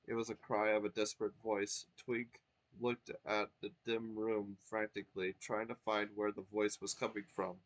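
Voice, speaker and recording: A man's voice: on the low side, level tone, moderate pace, natural voice, poor audio. A man voicing a young, timid male star creature who sounds earnest and anxious.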